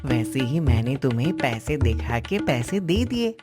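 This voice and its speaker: A woman's voice: extremely low pitch, big pitch swings, a little slow, loud music behind the voice, full character voice. A woman voicing a clever, cheeky village orphan boy in a cartoon story. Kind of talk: Hindi cartoon story acting.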